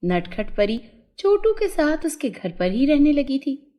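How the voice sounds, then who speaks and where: Lady telling a kids fairy story, really animated, soft mellow tone. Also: high voice, a little slow. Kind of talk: scripted children's fairy tale narration.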